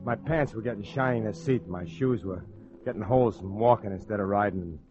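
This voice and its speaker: A man's voice: fairly low pitch, a little fast, music in the background, natural voice. A man playing a cocky, wisecracking small-time gangster and ladies' man in a 1940s radio crime drama, with slangy tough-guy talk. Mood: wry, reflective. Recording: muffled old radio broadcast.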